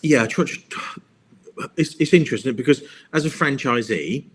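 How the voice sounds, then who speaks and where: A man's voice: medium pitch, expressive, normal speed, slightly rough. A man answering interview questions, rambling and often repeating words while he thinks. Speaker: guest. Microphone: video call.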